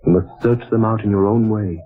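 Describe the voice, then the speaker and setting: An actor playing a patient, wise older stranger who speaks in slow, grave, measured pronouncements, in a 1940s radio drama. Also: male voice, fairly low pitch, fairly even intonation, soft background music, natural voice. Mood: solemn. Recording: narrow tinny old radio broadcast.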